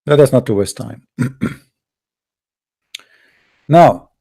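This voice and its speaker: A man's voice: medium pitch, very slow, creaky, dark tone. A man hosting a live debate stream, lecturing in an emphatic, argumentative way. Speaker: livestream debate host. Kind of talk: lecturing religious debate talk.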